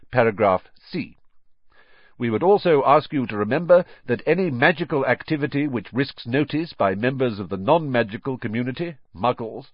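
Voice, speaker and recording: A man's voice: medium pitch, dry recording, dull audio, close to an everyday voice. Prim, officious ministry witch read by a man. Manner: formal, officious. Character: prim bureaucratic witch official, formal and stern. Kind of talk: audiobook letter in character.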